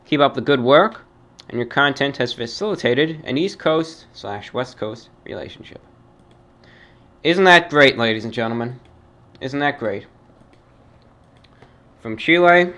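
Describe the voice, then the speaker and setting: A man hosting his own show, reading fan emails aloud and answering them in a formal, measured, somewhat halting way. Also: mid-range voice, fairly bright tone. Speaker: host reading fan mail. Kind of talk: radio-style monologue reading emails.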